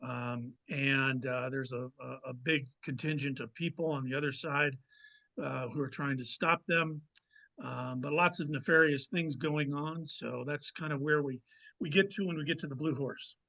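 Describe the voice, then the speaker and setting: A man speaking as a guest author in a conversational interview, explaining things in a measured way with pauses to find his words. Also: medium pitch, steady tone, creaky, bright, forceful tone, dull audio. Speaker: guest novelist.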